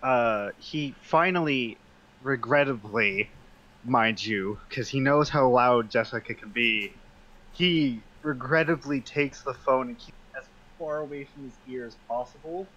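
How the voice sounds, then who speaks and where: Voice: mid-range voice, a little slow, fairly bright tone, muffled recording. A player in a tabletop game, casually improvising narration of what their character does. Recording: slight background noise.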